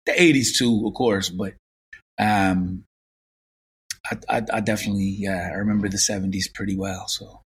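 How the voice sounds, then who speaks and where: A man in his fifties with a Limerick Irish accent, recalling his past as an interview guest in an unhurried, reflective way. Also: low-pitched, fairly even intonation, slightly rough. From Ireland. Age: 50s. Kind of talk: casual interview.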